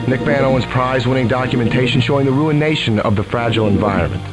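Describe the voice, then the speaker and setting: Game role: man as a wry, showy cameraman, medium pitch, deadpan, music underneath. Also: fast talker, lightly acted. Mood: mock-solemn. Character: sardonic, showy male documentary photographer.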